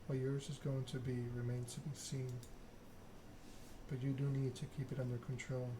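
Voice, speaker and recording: A man's voice: mid-range voice, level tone, normal speed, noisy background. A man doing an ASMR read-aloud of a narrative game, occasionally stumbling over the written text. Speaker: gaming channel host.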